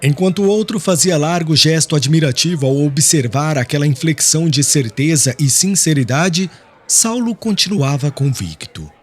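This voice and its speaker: A man's voice: on the high side, lively intonation, normal speed, husky. A man narrating a literary audiobook in a formal storytelling manner.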